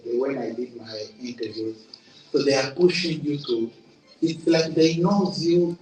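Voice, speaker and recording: A man giving a testimonial interview about a career program, speaking earnestly in halting phrases that repeat words. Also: mid-range voice, a little mellow. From Congo. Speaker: program participant. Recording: roomy sound from a distant mic.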